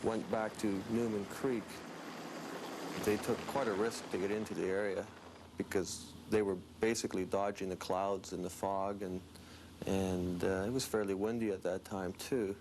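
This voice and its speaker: A man's voice: low-pitched, steady tone, normal speed. A male rescue worker giving a factual account in an interview. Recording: clear background noise.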